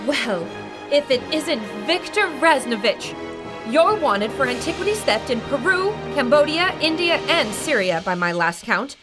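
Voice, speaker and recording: A woman playing a high-voiced radio reporter. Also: big pitch swings, a little slow, over music. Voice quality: vivid, descriptive reporting. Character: female radio reporter narrating the adventure to listeners. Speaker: narrator.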